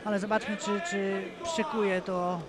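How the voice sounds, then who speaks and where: A man doing calm, measured live commentary on a cage fight, rising sharply at big moments. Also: high voice. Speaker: play-by-play commentator. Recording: loud arena crowd behind. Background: crowd.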